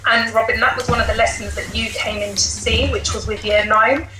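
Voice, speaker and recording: A woman's voice: a little high, fairly even intonation, a little fast, bright, forceful tone. A woman teacher explaining things conversationally as a guest on a podcast. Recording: echoey room, faint background noise.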